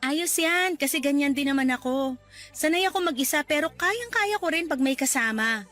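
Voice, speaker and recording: An actress playing a gentle, encouraging young woman in a classic radio drama. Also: high voice, expressive, normal speed. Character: kind gentle young woman volunteer, Diana. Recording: radio drama with background music.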